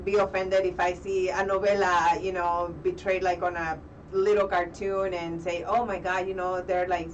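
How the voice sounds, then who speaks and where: A woman's voice: on the low side, level tone, moderate pace, muffled recording. Chatty Mexican woman on a distant mic. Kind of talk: casual chat. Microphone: internet video call. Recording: distant mic with faint noise.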